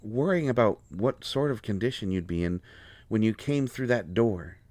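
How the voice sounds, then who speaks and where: A man playing a proud, hurt, lovestruck healer in an intimate audio roleplay, speaking earnestly and haltingly with deep feeling. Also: lively intonation, a little mellow, no room sound, natural voice. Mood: fervent, frustrated. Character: proud, wounded, lovelorn male healer with a limp.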